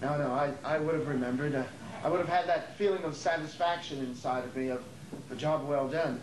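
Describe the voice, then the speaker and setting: A man's voice: on the high side, brisk, natural voice. Hesitant, weary aging dreamer played by a man, distant. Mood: wistful, weary. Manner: hesitant, stammering, repeating words. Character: Fred, wistful aging would-be actor, nervous and weary. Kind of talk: amateur stage play acting. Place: theater during a rehearsal. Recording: distant, echoey, noisy rehearsal tape.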